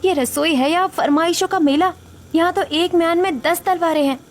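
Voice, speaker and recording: A woman's voice: extremely high pitch, moderate pace, slight background noise. A woman playing an overworked, exasperated but polite young Indian daughter-in-law in a Hindi story drama. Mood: exasperated, complaining. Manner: complaining, polite to elders. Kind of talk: Hindi audio story dialogue acting.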